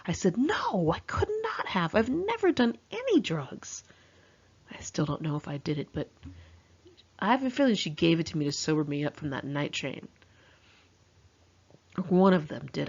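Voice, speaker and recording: Female memoir narrator, lower-pitched and husky, candid and wry, on a slightly muffled recording. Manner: candid, wry, dramatically expressive. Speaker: author reading own memoir. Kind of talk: memoir audiobook narration, confessional and conversational.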